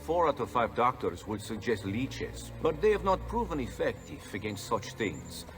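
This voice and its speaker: An actor playing a frank, businesslike Renaissance doctor in a video game. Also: male voice, mid-range voice, very animated, normal speed, fairly bright tone, soft background music. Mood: professional.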